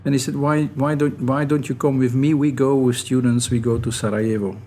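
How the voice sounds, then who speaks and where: A middle-aged man, a native Dutch speaker with a Dutch accent, giving thoughtful, halting answers as an academic guest in an interview. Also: mid-range voice, fairly even intonation, darkish tone, slight background noise. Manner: thoughtful, halting, often restarting phrases. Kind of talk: reflective interview talk.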